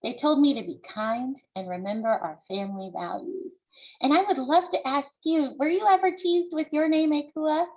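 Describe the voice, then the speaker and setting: High-voiced woman reading aloud to children. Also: a little mellow, low-quality recording. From United States. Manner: deliberate, instructive, encouraging. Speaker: children's book author presenting her book. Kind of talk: read-aloud with explanation to young audience.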